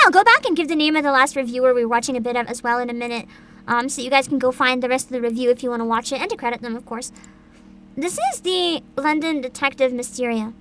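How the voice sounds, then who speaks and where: A young woman hosting a livestream, chatting casually with an excitable, giggly, informal manner. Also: high voice. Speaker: livestream host, vtuber. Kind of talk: casual livestream chat and reactions. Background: background music.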